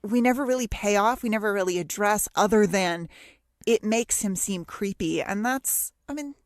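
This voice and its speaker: A woman co-hosting a podcast, discussing in a casual but analytical way, measured and pausing mid-phrase. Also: mid-range voice, no room sound, studio quality. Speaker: podcast co-host.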